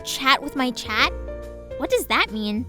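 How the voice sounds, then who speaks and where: A woman's voice: sits high, sing-song, a little slow, over music. A woman voicing a small, reserved young girl with a hesitant manner in a fan audio drama. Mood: puzzled, curious. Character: short, reserved young girl, easily tired by socializing.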